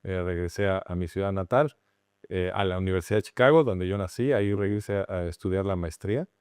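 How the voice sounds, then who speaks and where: A man's voice: low voice, a little mellow, clear, resonant tone, dry recording, clean studio sound. A middle-aged Mexican man with a Mexican Spanish accent, an anthropologist guest who explains patiently and restarts phrases as he thinks. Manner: explanatory, measured with frequent restarts. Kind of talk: interview conversation.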